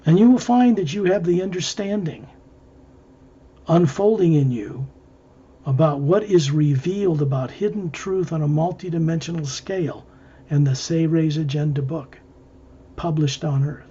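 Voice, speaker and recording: Male guided-visualization narrator, mellow and breathy, halting phrase-by-phrase delivery, muffled lo-fi sound. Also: on the high side. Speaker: guided meditation leader.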